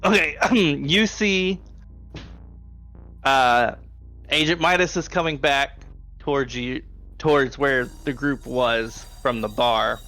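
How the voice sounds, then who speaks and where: A man's voice: mid-range voice, slow pace, pauses often, sharp tone, soft background music. A man acting as game master, narrating a tabletop roleplaying game in a conversational way.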